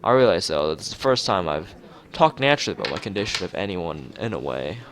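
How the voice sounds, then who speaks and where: A man reading a visual novel aloud in an expressive narrating style, as a let's-player. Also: sits low, quick pace. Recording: music behind the voice. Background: clear background music.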